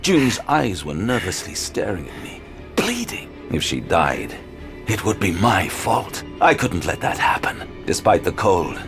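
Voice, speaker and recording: A wounded, haunted detective tells his horror story in a deep, gravelly voice. His first-person narration is grave and intense, swinging widely in pitch. Loud dramatic music runs underneath. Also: big pitch swings, measured pace, full character voice. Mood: tense, pained. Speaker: narrator and lead character. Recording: loud music bed throughout.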